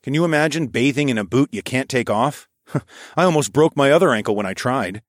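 A man's voice: mid-range voice, lively intonation, brisk, dry recording, studio quality, pitched above the performer's own voice, lightly acted. A man voicing a devoted, tender young hero in audiobook dialogue, earnest and soothing with a playful streak. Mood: lighthearted, rambling.

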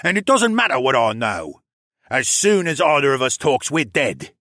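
A man's voice: on the high side, big pitch swings, moderate pace, fairly bright tone, slightly rough, no room sound, clean studio sound, pitched above the performer's own voice, heavily acted. A man voicing a hardened, sneering captured soldier who speaks with scornful, snapping contempt in an audiobook story.

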